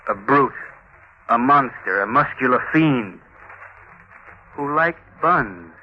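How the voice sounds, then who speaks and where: An actor playing a musing, self-important police officer in a 1950s radio drama, talking deliberately to himself. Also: male voice, medium pitch, expressive. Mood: awed musing. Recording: muffled, noisy 1950s radio recording.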